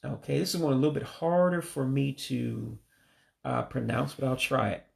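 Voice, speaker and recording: A man, a native English speaker, slowly and carefully teaching greeting phrases he is still learning, sounding them out and correcting himself. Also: medium pitch, creaky, a little mellow. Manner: slow, careful, tentative pronunciation with self-corrections. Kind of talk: self-taught language lesson.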